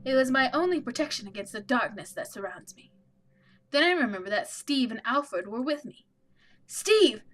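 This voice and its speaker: A woman's voice: on the high side, expressive, a little fast, a bit bright. A woman hosting a let's play, reading a visual novel aloud and adding wry, opinionated asides.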